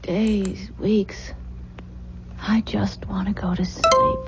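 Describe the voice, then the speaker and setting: A woman's voice: mid-range voice, level tone, very slow. A woman playing an anxious, weary young expectant wife, hesitant and dramatic in the manner of old radio acting. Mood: weary relief. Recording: muffled, noisy old radio recording.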